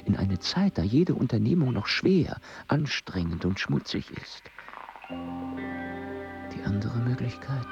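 A man's voice: medium pitch, sing-song, slow pace, creaky, lo-fi sound, over music, heavily acted. An actor voicing a brooding male mathematician, mostly in a whispered inner monologue that sounds bitter and proud, in a radio play. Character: brooding male mathematician weighing suicide by poison.

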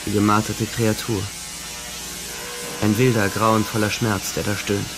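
An actor playing a young German soldier who narrates the horrors of the front in terse, intense fragments for a radio play. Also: male voice, lowish voice, level tone, a little slow, background noise, lightly acted. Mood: anguished, somber. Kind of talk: dramatic radio play narration in present tense. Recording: loud war effects and music underneath. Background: music, battle sound effects.

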